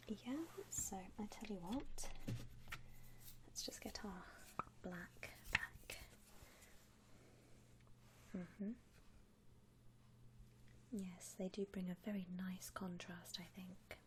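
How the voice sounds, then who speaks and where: A woman whispering breathily in an ASMR roleplay as a polite shop assistant, gently reassuring a customer with hesitant, accommodating patter. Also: fairly low pitch, steady tone, drawn-out words, pauses often, noisy background. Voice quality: breathy, mostly unvoiced whisper. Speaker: ASMR roleplayer playing a coffin shop saleswoman.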